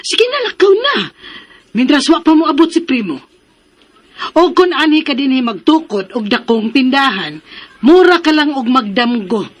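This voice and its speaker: A woman's voice: sits high, very animated, normal speed. A woman with a Filipino Cebuano accent acting a feisty, protective mother in a radio drama, indignant and exclamatory in her scolding.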